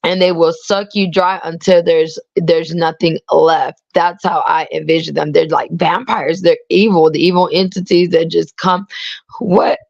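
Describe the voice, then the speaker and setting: A woman guest chatting casually and haltingly, with restarts, speaking with feeling. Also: fairly low pitch, flat delivery, gravelly, darkish tone, no echo. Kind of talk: casual chat. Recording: dull dry lo-fi sound.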